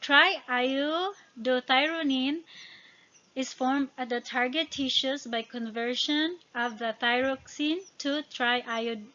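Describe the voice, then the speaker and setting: A woman with a fairly high voice and a Filipino accent, a native speaker of Tagalog and Visayan, teaches as she reads through study notes. She stumbles and corrects herself on technical words. The recording is somewhat dull and lo-fi. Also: fairly even intonation, a bit bright. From Philippines. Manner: halting over technical words, self-correcting. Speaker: educator. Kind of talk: informal lesson narration, reading study notes.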